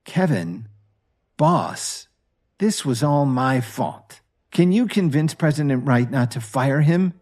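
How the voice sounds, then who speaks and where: A man's voice: mid-range voice, lively intonation, slow, a little mellow, pitched above the performer's own voice. A man voicing a snobby, taunting woman in an audio drama. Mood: pleading. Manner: taunting, haughty. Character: snobby, mocking young woman, wife's friend.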